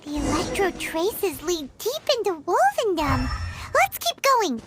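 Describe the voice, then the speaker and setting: A woman voicing a tiny, excitable, childlike fairy companion in a video game. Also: unhurried, very noisy, heavily acted. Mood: curious, alert. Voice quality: squeaky, childlike. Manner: exclaiming, speaks of herself in third person. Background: music.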